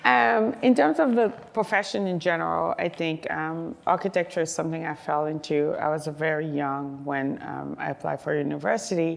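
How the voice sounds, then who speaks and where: A woman's voice: low voice, steady tone, brisk, gravelly, hardly any pauses. A middle-aged woman architect from Haiti, answering on a panel in a chatty way with many fillers. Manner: chatty, lots of fillers, self-deprecating.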